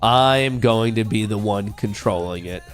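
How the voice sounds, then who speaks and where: A man's voice: lowish voice, normal speed, soft background music. A man hosting a casual livestream, slightly nasal as he gets over a cold, joking loosely and talking straight to his chat. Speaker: livestream host.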